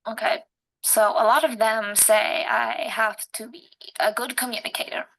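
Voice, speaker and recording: A young woman with a quiet voice, talking casually about herself in a hesitant, slightly awkward way. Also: on the high side, fairly even intonation, normal speed, gravelly, slight background noise, poor audio. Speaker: student participant. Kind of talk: casual personal talk.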